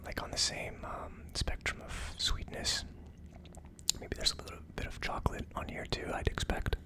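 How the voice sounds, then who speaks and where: A person whispering in a hushed, unvoiced way, hosting a casual ASMR tasting review. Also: normal speed. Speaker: host of an ASMR whiskey tasting show.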